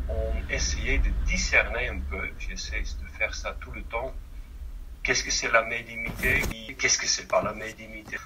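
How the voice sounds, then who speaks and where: A man's voice: on the low side, sharp tone, recorded from a distance, a little hiss or hum, rough recording. A man from the Netherlands, Dutch-accented and not speaking his native language, talking as a guest on a radio interview, often hesitating and searching for words. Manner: hesitant, searching for French words with many fillers. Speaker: guest medium.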